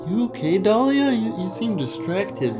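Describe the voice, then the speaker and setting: An actor plays an easygoing college friend in an audio drama. The delivery is casual and skeptical, with extremely lively pitch. Music plays clearly behind the voice. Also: a little slow. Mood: concerned.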